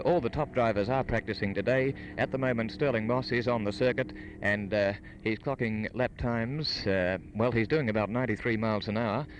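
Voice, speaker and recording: A man giving formal, hesitant live motor racing commentary and interviews in the manner of 1950s radio. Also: medium pitch. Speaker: radio sports broadcaster. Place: outdoors trackside at a motor racing circuit. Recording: noisy 1958 outdoor radio broadcast. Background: racing cars and engine noise.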